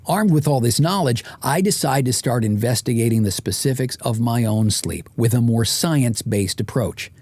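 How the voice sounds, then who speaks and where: A man reading his own nonfiction audiobook as author and host, in measured, deliberate first-person narration. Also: medium pitch, darkish tone, dry recording.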